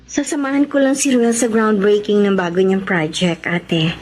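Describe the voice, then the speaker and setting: A woman's voice: mid-range voice, fairly even intonation, normal speed, darkish tone, close to an everyday voice, poor audio. A woman acting a tender, self-effacing young sweetheart in a Tagalog radio drama, speaking earnestly. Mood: earnest, resigned.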